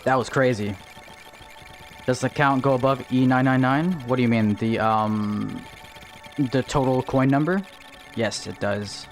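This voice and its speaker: A man streaming a game live from home, chatting casually, answering viewers and thinking out loud about his strategy. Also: mid-range voice, steady tone, a little slow, long pauses, soft background music. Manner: chatty, answers viewer chat while playing, thinks aloud. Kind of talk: casual livestream gameplay chat. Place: home, in Texas.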